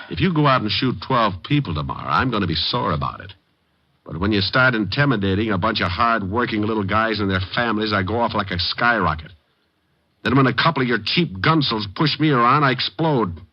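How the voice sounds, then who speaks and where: A low-pitched, hard-boiled private detective from an old radio drama. He is deadpan and sardonic, tossing off threats with cool menace. The recording is dry and muffled. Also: a little fast, no room sound. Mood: calm menace. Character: tough, wisecracking male private detective, coolly menacing. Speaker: actor playing detective and narrator.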